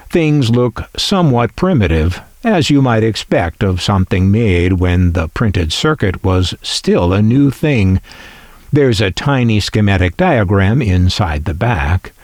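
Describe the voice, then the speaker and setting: A man narrates at a slow, deliberate pace, with a slightly low voice that rises and falls freely. He explains things like a collector showing off a piece, musing wryly as he goes. The sound is dry and close. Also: big pitch swings, darkish tone, no echo. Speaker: collector presenting a vintage radio.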